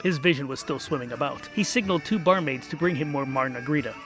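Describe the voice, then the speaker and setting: A man's voice: on the high side, quick pace, over music. A man narrating a comic fantasy story in a wry manner with dry asides.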